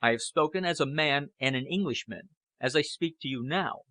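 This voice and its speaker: A man voicing a strained, aging, patriotic Prime Minister in an audiobook, with stern, deliberate oratory. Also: fairly high pitch, pushed and bright, no echo. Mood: stern, urgent. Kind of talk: audiobook character acting.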